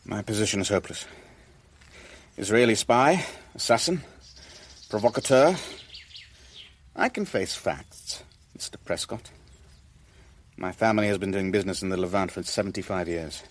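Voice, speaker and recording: An actor in a radio drama playing a cornered Levantine businessman, formal and measured, defending himself with wounded dignity. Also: lowish voice, natural voice. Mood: bitter resignation.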